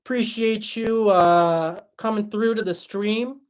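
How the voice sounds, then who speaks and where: A young man hosting a livestream and answering chat in a relaxed, chatty way, his voice tired after hours of talking. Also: sits high, takes it slowly, clear, resonant tone. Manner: relaxed, chatty, many fillers and restarts. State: voice tired after a long stream. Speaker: livestream host reading chat.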